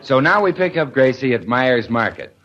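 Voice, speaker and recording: A man's voice: mid-range voice, big pitch swings, moderate pace, a faint crowd. Muffled old-time radio announcer, male. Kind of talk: 1940s radio scripted narration. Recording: muffled vintage radio recording, faint noise.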